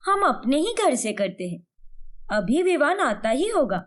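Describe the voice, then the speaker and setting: A woman's voice: sits high, very animated, unhurried, fairly bright tone, full character voice. A woman voicing an eager, playful young daughter-in-law with a chirpy, teasing manner in a cartoon story. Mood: excited.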